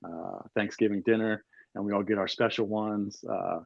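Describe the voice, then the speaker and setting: A man in his forties from Maryland rambles through his stories with plenty of fillers. His voice sits a bit low and sounds dull and lo-fi, with a dry, close feel. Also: fairly even intonation, moderate pace, gravelly, no room sound. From Maryland, USA. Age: 40s. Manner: rambling storyteller, many fillers. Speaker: podcast guest. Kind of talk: casual chat.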